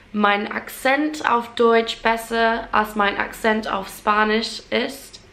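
A woman's voice: a little high, fairly bright tone. A young Englishwoman chatting casually to the camera in German as a learner, with an English accent and many fillers and restarts. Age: young adult. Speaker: vlogger. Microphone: camera at close range.

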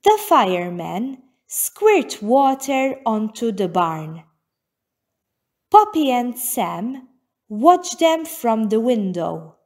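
A woman reading a children's storybook aloud as narrator, with deliberate, careful phrasing. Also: fairly high pitch, big pitch swings, darkish tone.

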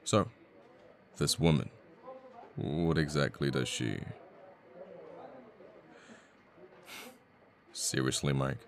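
Very deep, gravelly male assassin played by an actor. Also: fairly even intonation. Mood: cocky, irritated. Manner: unhurried, murmured, sweary, with sighs and pauses. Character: cocky young male assassin, flippant, menacing, secretly a devoted boyfriend. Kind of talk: intimate ASMR audio roleplay.

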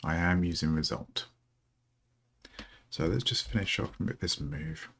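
Slow, gravelly man with a rough morning voice. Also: sits low, a bit bright. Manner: slow, halting, with many ums. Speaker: programmer streaming a coding session. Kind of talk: thinking aloud while coding.

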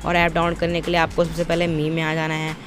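A man's voice: on the high side, completely flat delivery, quick pace, music in the background. A young man giving an informal, step-by-step tutorial voice-over with repetitive, instructional phrasing. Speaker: tutorial presenter. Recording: noisy, outdoor traffic-like background.

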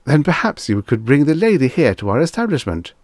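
Man putting on an eager, high-pitched fussy salesman. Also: very animated, a little fast, darkish tone, no room sound, clean studio sound. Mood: frightened, pleading. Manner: obsequious, formal, wordy. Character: eager, anxious, fussy salesman clerk, deferential and long-winded.

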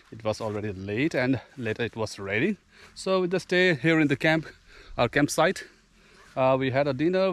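A man's voice: medium pitch, dry recording. A man in his forties vlogging outdoors by a lake, talking into a camera held at arm's length in a chatty, loose way. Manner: chatty, loose informal phrasing. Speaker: travel vlogger. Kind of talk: casual vlog narration.

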